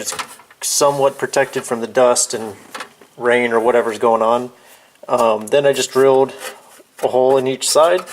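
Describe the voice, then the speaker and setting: A man giving a casual, hands-on how-to demonstration in an informal, offhand way, as if thinking aloud while he works. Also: mid-range voice. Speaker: DIY video presenter. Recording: clear background noise, clicks of handling.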